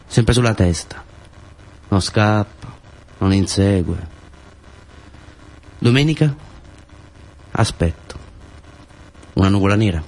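Brooding young Pugliese man, acted by a man, low voice, obsessive, clipped cryptic fragments, radio theatre. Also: a little mellow, full character voice, poor audio. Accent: southern Italian, Pugliese. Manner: terse, cryptic, one-word fragments.

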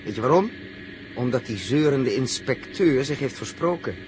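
A man's voice: mid-range voice, animated, normal speed, close to an everyday voice. An actor playing a suspicious, grumbling crewman in a 1960s Dutch radio drama, speaking with edgy emphasis. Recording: noisy 1960s radio recording.

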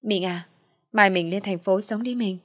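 A woman's voice: mid-range voice, normal speed, dry recording, lightly acted. Woman plays scheming pregnant wife for audio drama: calculating, scornful or wheedling, dry close sound. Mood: coaxing, sly.

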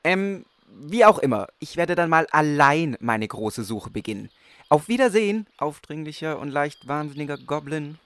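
An actor's lively, exasperated young adventurer. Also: medium pitch, moderate pace, no room sound, natural voice. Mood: impatient. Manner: sarcastic, incredulous, expressive. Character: exasperated, earnest young adventurer seeking a mentor. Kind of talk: comic fantasy radio play acting.

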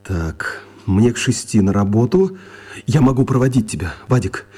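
A man's voice: medium pitch, expressive, moderate pace, mellow, slightly rough. A man playing a practical, compliant father of a schoolboy in a children's radio play, reasoning and yielding. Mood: calm, conciliatory.

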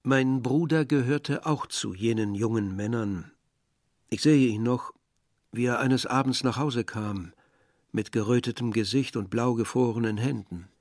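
A man's voice: lowish voice, a little mellow, no room sound, clean studio sound. An actor playing a reflective village man recounting wartime memories in literary radio drama narration. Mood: sorrowful, reflective. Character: reflective male villager recalling war and his brother. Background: music.

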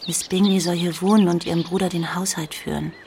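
A woman playing a gentle, trusting young sister in a German radio drama, speaking softly and earnestly. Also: lowish voice, steady tone, brisk. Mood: tender, admiring. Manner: soft, earnest, partly breathy. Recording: clear outdoor noise with birdsong.

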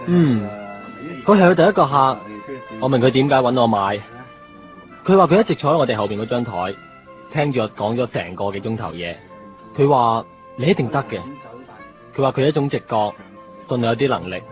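A man's voice: mid-range voice, lively intonation, a little fast, pauses often, lightly acted. A male actor as a young married Hong Kong salesman, earnest and wistful with a needling edge. He talks like someone telling a story, mixing English into Cantonese, in a slightly muffled radio play with faint music. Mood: reminiscing, sincere. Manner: conversational storytelling with English words mixed in. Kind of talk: radio drama dialogue.

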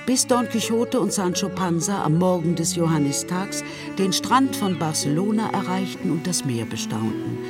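A man's voice: sits high, lively intonation, normal speed. A man narrating a literary radio play in scripted, storybook prose. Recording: music under the voice.

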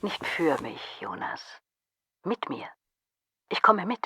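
A woman playing a determined, wealthy client of a detective in a radio drama, with a husky, breathy voice. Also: fairly low pitch, sing-song, slow, sharp tone, a little hiss or hum, full character voice.

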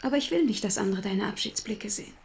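An actress playing a tender young village sweetheart in a radio play, plaintive and intimate, often slipping into whispers. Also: female voice, medium pitch, quick pace, creaky, lightly acted. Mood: tender, sorrowful. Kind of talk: German radio play acting.

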